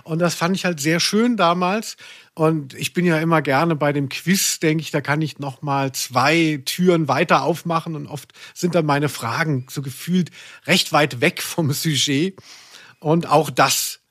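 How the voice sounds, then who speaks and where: A man's voice: fairly high pitch, measured pace, a bit bright, dry recording, studio quality. A man hosting a chatty podcast and running a quiz in a playful, tongue-in-cheek way. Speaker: podcast host and quizmaster. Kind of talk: casual chatty podcast talk.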